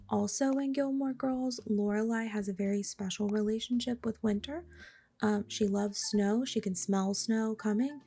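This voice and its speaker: A young woman with a raspy, slightly hoarse voice from a cold, chatting casually and softly over her gameplay, sometimes dropping to a whisper. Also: mid-range voice. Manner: soft-spoken, chatty, trails into whispers. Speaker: gaming vlogger.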